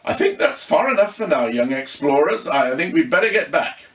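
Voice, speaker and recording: A man voicing a brisk, curious older grandmother in an audiobook children's story, thoughtful in her asides. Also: fairly high pitch, very animated, fairly bright tone, echoey room, distant mic, pitched above the performer's own voice.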